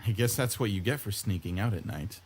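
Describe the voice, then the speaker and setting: Low-pitched man reading a story aloud. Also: fairly quick, breathy edge. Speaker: let's play host reading aloud. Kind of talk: scripted first-person story narration. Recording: guitar music behind the voice. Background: plucked guitar music.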